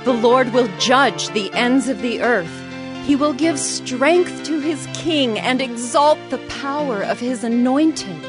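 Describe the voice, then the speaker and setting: An actress playing a devout, grateful biblical mother in a dramatized scripture reading, speaking in a solemn, fervent, measured way. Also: sits high, over music. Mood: exultant, solemn.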